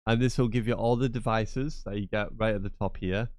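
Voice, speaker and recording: A man's voice: fairly low pitch, fairly even intonation, a little fast, darkish tone, dry recording, clean studio sound. Stuffy-nosed man, chatty tutorial. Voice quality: nasal. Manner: chatty, repetitive, rambling asides. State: congested from a cold. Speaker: gaming channel host. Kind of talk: casual screen-share tutorial.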